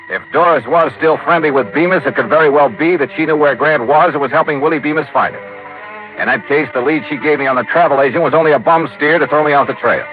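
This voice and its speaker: A man's voice: on the high side, lightly acted. An American actor playing a tough, wry insurance investigator who narrates his case in clipped, dry 1950s radio drama style. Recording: tinny old radio recording with music.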